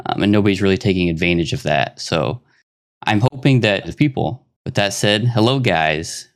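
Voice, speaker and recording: A young man in his twenties hosts a gaming podcast chat. His voice sits fairly low and sounds smooth and resonant. He talks earnestly and steadily, pausing now and then on a filler. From Arkansas, United States. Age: 20s. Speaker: podcast host.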